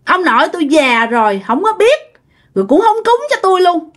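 A woman doing a shrill, taunting ghost girl. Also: very animated, brisk. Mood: taunting giggly. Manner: high, giggly and taunting. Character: hungry, mischievous young female ghost.

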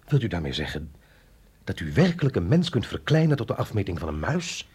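Radio play recruit, doubting agent, very husky low voice, hesitant questions. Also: male voice, sing-song, fairly quick, darkish tone, full character voice. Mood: puzzled, questioning. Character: reluctant, doubting male agent, younger than his superior. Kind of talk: radio drama acting.

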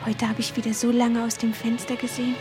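A woman's voice: fairly high pitch, little pitch movement, quick pace, music in the background, lightly acted. An actress playing a dreamy, restless young girl in a radio play, wistful and pleading, often slipping into whispers. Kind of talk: 1970s German radio play acting.

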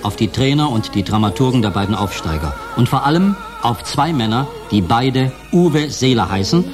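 A man's voice: mid-range voice, expressive, normal speed, natural voice. Emphatic male football host played by an actor, over music. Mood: emphatic, expectant. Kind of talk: scripted German radio drama, broadcast announcing.

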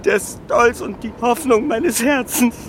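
A man's voice: very high voice, takes it slowly, fairly bright tone, exaggerated voice. An actor playing a humble, devoted young fisherman in despair, tearful and heartbroken, in a German radio play. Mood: anguished. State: crying. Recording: clear noise behind the voice.

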